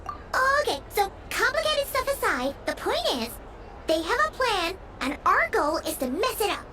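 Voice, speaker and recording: An extremely high, squeaky little fairy sidekick chirps away in a video game. The delivery is bubbly, eager and lively in pitch, over loud background noise. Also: female voice, moderate pace. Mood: matter-of-fact. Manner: squeaky, bubbly, talks in third person. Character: tiny childlike floating fairy companion, perky and eager. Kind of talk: scripted video game voice acting.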